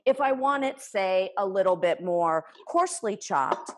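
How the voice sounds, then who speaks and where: A woman's voice: mid-range voice, lively intonation, unhurried. A woman in her forties giving a live cooking demonstration in her home kitchen, explaining each step with enthusiasm while she chops. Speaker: cooking instructor. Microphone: camera a few feet away.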